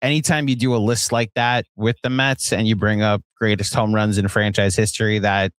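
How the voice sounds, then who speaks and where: A man's voice: fairly low pitch, fairly even intonation, normal speed, smooth, no room sound. A man hosting a sports podcast in a casual, conversational style.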